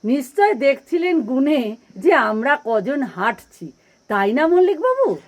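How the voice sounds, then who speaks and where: A woman's voice: high-pitched, sing-song, unhurried. An actress playing a witty, forward older single woman in an audio play, teasing and articulate.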